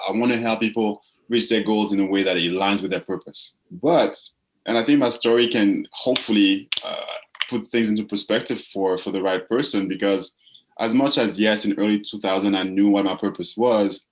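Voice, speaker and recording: Low-voiced man from the Congo, reflective. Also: rough recording. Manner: reflective, repeats words while searching for phrasing. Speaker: guest, purpose coach. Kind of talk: casual interview talk.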